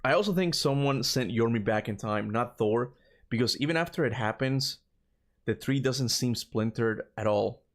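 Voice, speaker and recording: A man chats through a gaming livestream, thinking aloud as he plays. His voice sits at an ordinary mid pitch. He circles back over his own words and breaks into laughter easily. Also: lively intonation, moderate pace. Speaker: gaming streamer. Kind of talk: casual livestream commentary.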